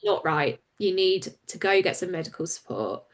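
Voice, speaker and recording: Frank woman telling her story. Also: on the low side. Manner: open and frank, quick and animated when recounting. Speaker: campaigner and guest. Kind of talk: candid conversational interview.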